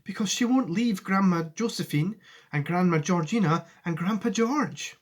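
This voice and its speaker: Earnest, eager storybook boy, played by a man, small and simple, lifted pitch. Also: moderate pace. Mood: worried. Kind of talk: storybook read aloud.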